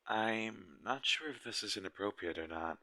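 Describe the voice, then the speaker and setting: A man's voice: lowish voice, lively intonation, moderate pace, bright, forceful tone, no echo. A man playing a shy, smitten game developer on a date in a romantic audio roleplay, speaking nervously and hesitantly straight to the listener. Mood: earnest, nervous. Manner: awkward, nervous, hesitant and stammering.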